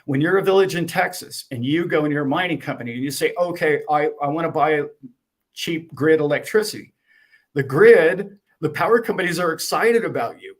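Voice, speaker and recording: A man with a voice on the higher side talks with animation. He explains at length and slips into acted-out exchanges to make his point. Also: a little fast. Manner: animated, acts out dialogue. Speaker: guest. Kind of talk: explaining to a host.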